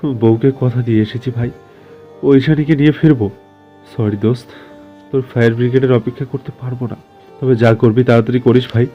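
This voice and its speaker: A man's voice: mid-range voice, fairly even intonation, brisk, long pauses, lo-fi sound, soft background music, natural voice. A man playing a loyal, anxious comrade in an audio story, speaking earnestly and urgently. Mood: resolute. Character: loyal, anxious younger male comrade in a rescue. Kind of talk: dramatized audio story acting.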